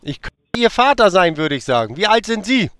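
A man in his late fifties talks on a phone call, teasing and stalling with many hesitations. His voice sits high and swings widely in pitch. The sound is dry and close. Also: big pitch swings, brisk, fairly bright tone, clean tone, dry recording. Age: 50s.

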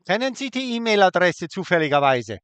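A man's voice: sits high, sing-song, brisk, fairly bright tone, smooth, no echo. A man on a prank phone call, taunting and crude, sitting in his car in a forest. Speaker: scam baiter.

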